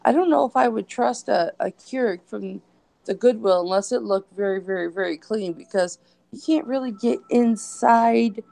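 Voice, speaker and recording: A woman's voice: fairly high pitch, moderate pace, creaky, mellow, no echo. A woman co-hosting a casual live stream chat, easygoing while she sorts out her devices.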